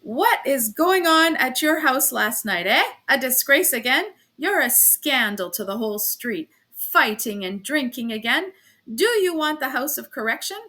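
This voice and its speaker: A woman voicing a loud-mouthed, blustering male police officer who scolds and shouts. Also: sits high, animated, unhurried, exaggerated voice. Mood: scolding.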